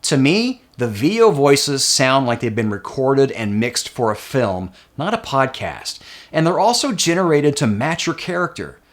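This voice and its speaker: A man narrating a scripted software tutorial as its host. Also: mid-range voice, sing-song, a little slow.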